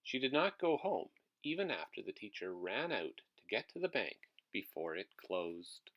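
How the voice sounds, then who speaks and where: A man's voice: on the low side, very animated, normal speed, a bit bright. A man who works as a teacher reads a picture book aloud to young children, with asides to his listeners.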